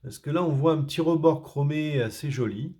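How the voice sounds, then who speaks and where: A man's voice: a little high, fairly quick, mellow. A man from France doing a casual unboxing, talking hesitantly with many fillers and restarts. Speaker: tech reviewer.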